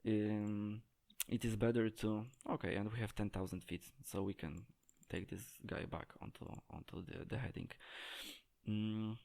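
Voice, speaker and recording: Low, flat-voiced man explaining haltingly. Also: creaky. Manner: hesitant, halting, searching for words. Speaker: game tutorial presenter. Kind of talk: unscripted gameplay tutorial commentary.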